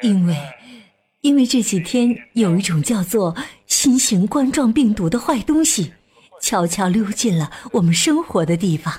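A woman playing a gentle, dutiful doctor mother with a soothing, earnest manner in a children's radio drama. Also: medium pitch, animated, moderate pace, husky. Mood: apologetic, earnest.

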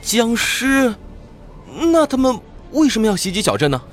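High, excitable young adventurer. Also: male voice, normal speed, faint music behind. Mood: astonished. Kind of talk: Chinese audio drama comic acting.

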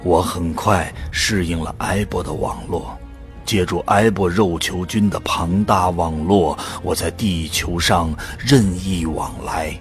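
A man playing a brooding volunteer who narrates his own story in a measured, solemn audio drama style. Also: low voice, steady tone. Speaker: narrator and lead actor. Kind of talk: scripted first-person drama narration. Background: music.

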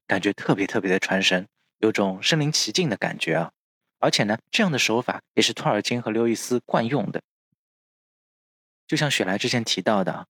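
A man hosting a podcast, explaining from notes and often ending phrases on soft filler particles. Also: on the low side, no echo. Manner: frequent drawn-out filler particles at phrase ends. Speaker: podcast host.

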